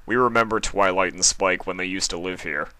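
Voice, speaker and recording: A man acts out a low-voiced male unicorn guard of a palace. He sounds steady, sincere and eager to oblige, like a storybook soldier in a dry recording. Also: fairly quick, no echo. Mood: loyal, assuring.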